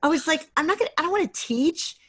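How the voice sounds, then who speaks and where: A middle-aged woman chatting casually as an interview guest on a video call, speaking in a hesitant, halting way and often restarting her phrases. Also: high-pitched, animated. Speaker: guest author and creative writing teacher.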